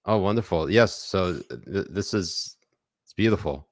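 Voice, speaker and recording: A low-pitched man trades sarcastic, mock-serious political banter as a podcast co-host. The sound is dry and close, with no room echo behind him. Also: expressive, unhurried.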